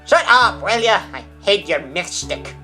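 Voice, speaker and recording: A woman's voice: medium pitch, sing-song, measured pace, sharp tone, soft background music. A woman voicing a hot-tempered, resentful male weasel crook who stammers indignantly in an amateur audio drama. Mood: bitter anger.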